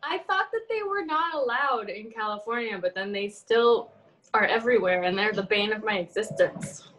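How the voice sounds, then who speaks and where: A woman's voice: medium pitch, animated, normal speed, a bit bright, slight background noise, low-quality recording. A woman chatting casually and informally as a guest on a podcast. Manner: informal, frequent "like". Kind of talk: casual chat.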